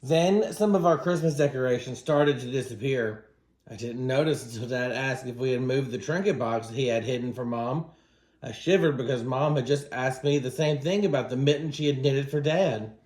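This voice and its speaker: A man reading a children's story aloud as a teacher, in an expressive, dramatic manner. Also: mid-range voice. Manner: dramatic, acts out dialogue. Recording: roomy, echoing room sound.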